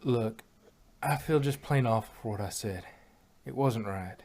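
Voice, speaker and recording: A man voicing a worried, earnest, gentle female pony in a storybook reading, soft and anxious. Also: mid-range voice, a little slow, slight background noise, lightly acted. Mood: remorseful.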